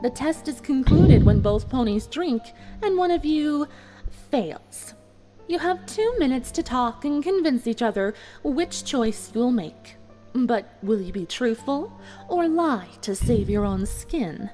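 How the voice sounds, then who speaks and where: Smug villain mare played by a woman, high-pitched and expressive, taunting with mock politeness, for an audio fan drama. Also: faint music behind. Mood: coolly menacing. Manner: measured, mock-apologetic, taunting. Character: smug, cruel female pony villain running a deadly test.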